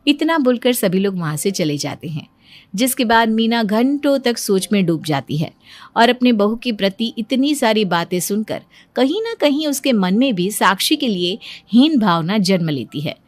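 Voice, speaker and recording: A woman's voice: mid-range voice, very animated, moderate pace, no room sound. A woman reading scripted narration for a moral story, as its narrator.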